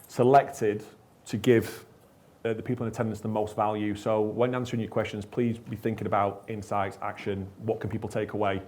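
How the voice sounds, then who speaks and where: A man's voice: lowish voice, darkish tone. A man moderating a conference panel, addressing the audience directly in an upbeat way.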